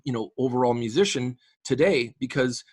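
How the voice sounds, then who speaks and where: A man's voice: mid-range voice, moderate pace, a little mellow, slightly rough, no echo, clean studio sound. A man in his late forties from the Canadian prairies, chatting as a guest musician in a casual interview, enthusiastic and rambling with frequent restarts. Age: late 40s.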